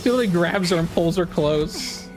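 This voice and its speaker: A man giving casual, excitable, rambling reaction commentary on a video game. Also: high voice, brisk. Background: music from the game trailer.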